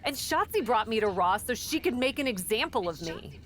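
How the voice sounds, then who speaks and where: An actress playing a young pro wrestler in a video game, offhand and breezy with a defensive edge. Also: female voice, on the high side, very animated, fairly quick, a bit bright, slight background noise. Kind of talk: casual video game cutscene dialogue.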